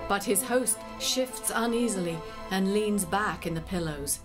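A woman giving measured, scripted storytelling narration as part of a dramatic play. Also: medium pitch. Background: music.

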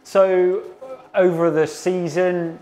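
A man's voice: sits high, little pitch movement, slow pace, mellow, soft background music. A man with an inquisitive, conversational manner, interviewing his guide on a factory tour. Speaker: interviewer and commentator.

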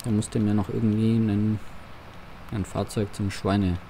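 A man's voice: lowish voice, little pitch movement, normal speed, mellow, a little hiss or hum. A man roleplaying a farmer in a farming game, talking casually and doing business over the phone. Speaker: farm sim roleplay player. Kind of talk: roleplay gameplay commentary.

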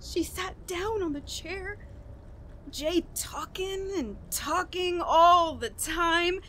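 An actress playing a guilt-ridden, unraveling woman in a radio horror play, telling her story in a halting, dramatic way. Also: very high voice, fairly bright tone, full character voice. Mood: dread. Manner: halting, repeating words, breaking into nervous laughs and gasps. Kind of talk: dramatic first-person horror monologue in old-radio style.

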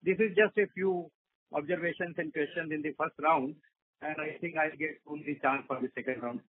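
A man with an Indian accent asking questions as an analyst on an earnings call, halting and polite. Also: on the high side, fairly even intonation, a little hiss or hum. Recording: phone-like radio-sounding conference line.

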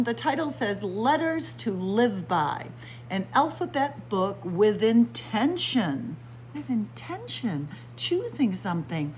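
A middle-aged woman reads aloud to young children, taking each word slowly and deliberately. Her voice rises and falls in lively, animated swoops. A faint hum sits under the close webcam sound of a small library room. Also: on the high side. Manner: slow, deliberate word-by-word reading with lively teacherly emphasis. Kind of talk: picture-book read-aloud for kids. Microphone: webcam or phone close up at arm's length.

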